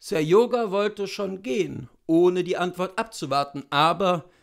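A man in his thirties reading literary fiction aloud as an expressive narrator. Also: high voice, measured pace, vocal fry. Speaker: literature reader. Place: small indoor room with bookshelves.

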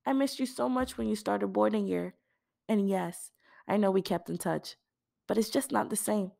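A woman voicing a shy, soft-spoken teenage girl in an audiobook, with a reassuring, understated manner. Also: mid-range voice, normal speed, gravelly, voice pushed up.

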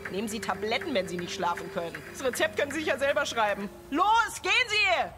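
A woman's voice: fairly high pitch, very animated, quick pace. An actress playing a defensive, indignant single mother in a radio drama, sarcastic and scornful. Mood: scornful, angry. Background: music.

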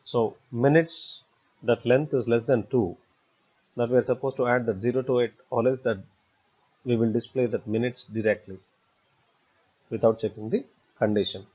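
Husky man teaching software. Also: medium pitch, fairly even intonation, moderate pace, long pauses. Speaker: software tutorial instructor. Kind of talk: step-by-step screen tutorial narration. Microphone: voice-over recorded for screen capture. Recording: dull, muffled lo-fi voice recording.

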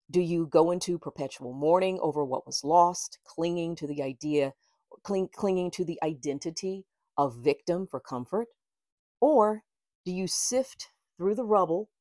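A middle-aged woman with a slightly low voice reads aloud from her own book. Her phrasing is measured and deliberate, with room for casual asides. The sound is very dry, with no room echo. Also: darkish tone. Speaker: author and tarot reader. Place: small book-lined room indoors.

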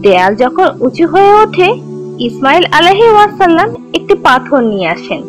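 A woman playing a kind teacher who tells religious stories to children in a measured, instructive, scripted way. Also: very high-pitched, big pitch swings, soft background music. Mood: instructive, gentle. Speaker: storyteller narrator teaching children.

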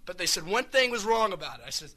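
A street singer, a man, rambling earnestly through song introductions with many restarts, his voice a little hoarse. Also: high-pitched, sing-song, fast talker, pushed and bright.

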